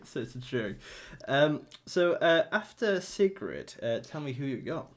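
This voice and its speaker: A man from North Yorkshire with a northern English accent, hosting a relaxed, chatty interview full of fillers. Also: on the high side, a little slow. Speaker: podcast host. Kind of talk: casual interview chat.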